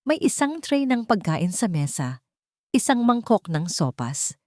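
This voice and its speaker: A woman narrating a drama audiobook in an expressive storytelling manner. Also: medium pitch, unhurried, no room sound, studio quality.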